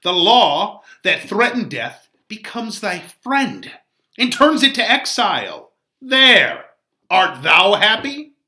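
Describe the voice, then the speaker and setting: Male reader as scolding friar, Shakespeare stage reading, declamatory, exasperated, animated and sharp-edged. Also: sits high, slow pace, pitched above the performer's own voice, heavily acted. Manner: emphatic, declamatory, archaic verse. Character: exasperated, scolding older friar, a man, urging good sense. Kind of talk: dramatic reading of a Shakespeare play.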